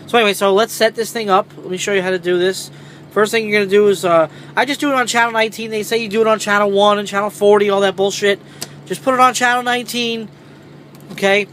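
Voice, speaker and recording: A man with a high-pitched voice talks through a casual hands-on tutorial. His delivery is informal and rambling, with some salty language. There is some vehicle noise around him, as if recorded in a truck cab. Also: fairly bright tone. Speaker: truck driver presenting a how-to video. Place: inside a parked semi truck cab. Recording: clearly noisy vehicle ambience.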